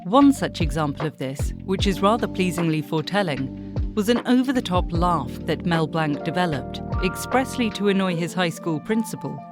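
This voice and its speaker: Woman, documentary host, scripted narration, lower voice, music behind. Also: animated, normal speed.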